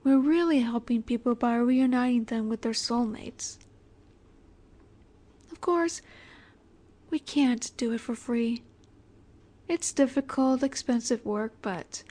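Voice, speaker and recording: Soft, slow-speaking vampire seductress, acted by a woman. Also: fairly high pitch. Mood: flirtatious, inviting. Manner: soft, breathy, measured, often dropping to a whisper. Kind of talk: intimate scripted audio roleplay.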